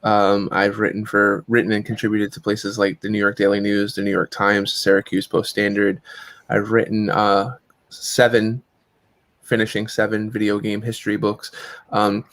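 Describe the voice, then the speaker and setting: Man in his 40s, low pitch, flat delivery, dry sound, rambling. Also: moderate pace, dry recording. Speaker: guest, comic writer. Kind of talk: casual panel chat.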